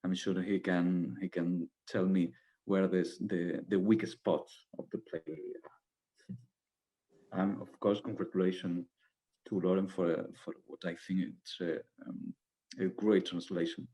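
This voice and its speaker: A man speaking as a guest in a post-show theatre discussion, haltingly, repeating words as he searches for phrasing. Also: low-pitched, level tone, warm tone, poor audio. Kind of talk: hesitant panel remarks.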